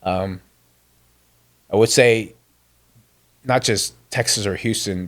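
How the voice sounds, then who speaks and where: A man in his fifties, born in Vietnam with Vietnamese as his first language, telling a personal story earnestly as a podcast guest. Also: on the low side. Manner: earnest, with frequent restarts.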